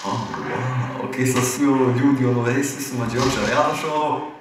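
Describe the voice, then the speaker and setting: Fast-talking Bosnian man in echoey room. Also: medium pitch. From village near Tuzla, Bosnia. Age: 20s. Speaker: youth exchange participant, musician. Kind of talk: casual chat. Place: indoor hall with large windows. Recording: very echoey, distant, clearly noisy room.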